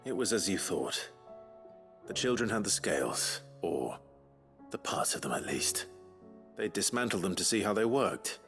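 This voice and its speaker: Calm kindly mentor, low voice, measured and encouraging, game dialogue over music. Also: male voice, heavily acted. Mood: sober, reassuring. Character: calm, kindly grown man, patient mentor to children. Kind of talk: video game dialogue acting.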